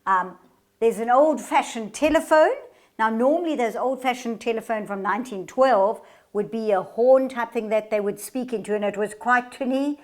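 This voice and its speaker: A woman performer presenting a radio play in a deliberate, theatrical storytelling style. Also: fairly high pitch, a little mellow. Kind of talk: theatrical scripted narration and demonstration.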